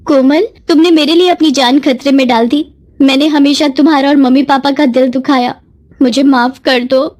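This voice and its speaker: A woman voicing a headstrong, emotional young adult daughter in an animated moral story, speaking earnestly. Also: high voice, normal speed, voice pushed up, natural voice. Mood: remorseful.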